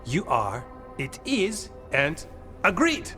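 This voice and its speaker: A gentle, slow-spoken game warden. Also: male voice, on the high side, wildly swooping pitch, soft background music, heavily acted. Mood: warm, encouraging. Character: gentle, earnest male warden, uneasily attuned to eerie evil. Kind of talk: dramatic video game acting.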